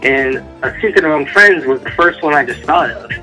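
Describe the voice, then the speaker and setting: A man's voice: fairly high pitch, expressive, fairly quick, over music, rough recording. A man from Dallas, Texas, answering interview questions as an author in an informal, loosely rambling way. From Dallas, Texas, United States. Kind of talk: casual radio interview answers.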